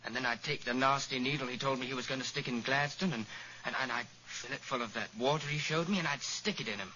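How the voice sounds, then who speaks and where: An actor playing a childlike, simple-minded young man, naive, earnest and halting, in 1940s radio drama style. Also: mid-range voice, pushed and bright, natural voice. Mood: childish menace. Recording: dull, noisy old radio recording.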